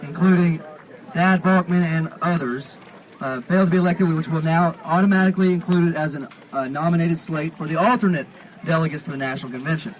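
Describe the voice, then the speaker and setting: A man with a slightly high voice chairs an outdoor assembly. He speaks in formal procedural phrases, deliberate and a little halting, as he addresses the gathering. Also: slight background noise, rough recording. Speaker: convention chair running a meeting. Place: outdoors at a parking lot gathering.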